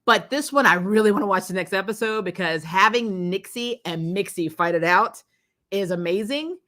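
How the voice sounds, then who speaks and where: A woman hosting a casual, unscripted review, retelling scenes in slangy, animated talk and acting out reactions. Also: mid-range voice, normal speed. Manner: animated, exclaiming, acts out reactions, laughs often; informal slangy talk with frequent "like" and "you know". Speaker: host of a TV episode review show.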